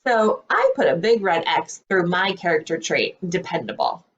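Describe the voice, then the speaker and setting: Female school librarian, children's read-aloud, explains clearly with highly varied intonation. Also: big pitch swings, normal speed, muffled recording. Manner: clear, explanatory teacher talk.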